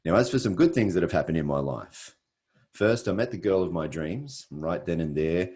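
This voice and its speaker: A man's voice: sits low, expressive, normal speed, darkish tone. A middle-aged Australian man hosting an online presentation, talking in an earnest, repetitive way with frequent fillers. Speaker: webinar host. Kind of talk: motivational presentation.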